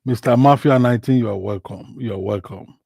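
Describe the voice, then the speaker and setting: A male host with a mid-pitched voice who hesitates and restarts often while welcoming and questioning callers. His voice is recorded dry and close, with no room echo. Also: brisk, vocal fry, darkish tone, breathy edge. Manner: hesitant, many fillers and restarts. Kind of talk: live call-in talk show.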